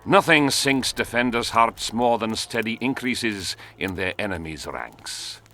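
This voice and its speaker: Swaggering war leader, forceful bright voice, widely swinging pitch, blunt and cynical, fantasy game. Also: male voice, expressive, measured pace, soft background music. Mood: grim pride. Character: brash, cynical military commander of an invading army. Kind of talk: video game dialogue acting.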